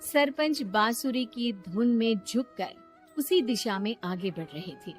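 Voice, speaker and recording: A woman's voice: on the high side, animated, unhurried, faint music behind. A woman reading scripted narration for a children's cartoon story.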